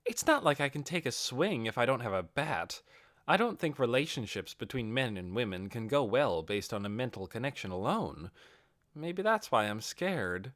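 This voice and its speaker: A man's voice: medium pitch, sing-song, normal speed, fairly bright tone, dry recording. Audiobook role: undead male ruler, awkward and hesitant under a businesslike front, performed by a male reader. Dry sound. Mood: anxious, self-scolding. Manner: hesitant, sighing, then businesslike. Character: awkward, flustered undead male overlord with secret self-doubt.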